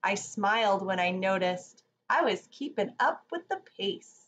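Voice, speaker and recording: A woman's voice: mid-range voice, lively intonation, unhurried, fairly bright tone, highs cut off. A woman leading a children's read-along yoga lesson, reading expressively and giving simple, encouraging instructions to young children. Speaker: children's yoga teacher reading a picture book aloud. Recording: echoey room, distant mic, background noise.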